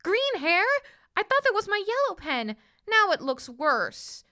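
A woman's voice: very high-pitched, big pitch swings, measured pace, bright, forceful tone, pitched above the performer's own voice, exaggerated voice. A woman acting a careless, blunt little girl who exclaims freely, in a children's storybook. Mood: dismayed.

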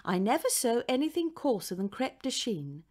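A woman's voice: fairly high pitch, very animated, normal speed, darkish tone, voice pushed up. A woman voicing a cheerful, affectionate mother with a tender, reassuring manner in a children's story. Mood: playful, reassuring.

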